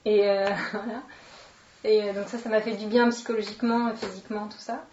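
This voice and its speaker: A woman's voice: medium pitch, level tone, fairly quick, darkish tone. A woman in her late twenties with an accent from France, talking casually and hesitantly as she introduces herself in an interview. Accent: French, from France. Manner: informal, hesitant, thinking aloud. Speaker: interviewee, actress and children's entertainer. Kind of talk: casual spoken self-introduction. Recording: roomy, dull, distant microphone, noisy.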